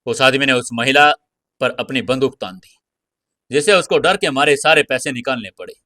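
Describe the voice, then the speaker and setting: A man's voice: medium pitch, normal speed, sharp tone, dry recording, clean studio sound. A man narrating a scripted audio series in a dramatic storytelling style.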